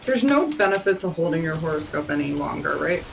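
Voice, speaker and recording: A woman's voice: low voice, expressive, fairly quick, creaky, a little hiss or hum, poor audio. A woman chatting casually with teammates during an online raid game. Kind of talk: casual gaming chat. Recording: game music behind the voice.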